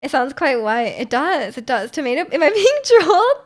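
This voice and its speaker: A young woman streaming live and chatting casually with her viewers. Her voice sits a little high, and she rambles, restarting sentences and filling gaps with likes and ums. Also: big pitch swings, moderate pace. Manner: rambling, many fillers and restarts, frequent laughter. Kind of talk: casual chat reading viewer comments.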